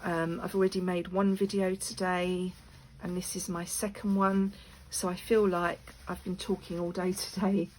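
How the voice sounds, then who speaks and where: A woman with a croaky voice chatting casually to her channel while making craft pieces, rambling with lots of fillers. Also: on the low side, flat delivery, moderate pace, darkish tone. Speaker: crafting video maker. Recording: noisy room with clicks.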